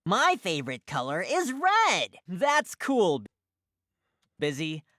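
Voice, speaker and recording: A woman's voice: on the low side, huge pitch swings, slow, bright, forceful tone, dry recording, studio quality, heavily acted. A woman voicing cheerful, inquisitive puppy characters in an educational preschool cartoon, with an exaggerated sing-song teaching delivery. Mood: bright, inquisitive. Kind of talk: scripted children's educational cartoon dialogue.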